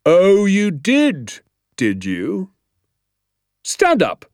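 A man voicing a bullying, contemptuous military schoolmaster with a menacing, drawn-out, emphatic delivery in an audiobook story. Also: sits high, wildly swooping pitch, darkish tone, dry recording, clean studio sound, voice pushed up, exaggerated voice. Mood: scornful, stern. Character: furious bullying schoolmaster, old military captain, barking contemptuously.

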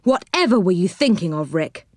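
A woman's voice: on the low side, sing-song, moderate pace, dry recording, studio quality. A woman voicing a sensible, bossy boy in a children's story, with an emphatic manner. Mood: accusing. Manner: emphatic, reproachful, exclamatory.

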